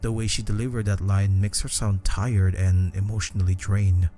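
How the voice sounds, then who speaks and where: A man's voice: lowish voice, level tone, moderate pace, warm tone, soft background music. A man reading scripted narration for a video essay.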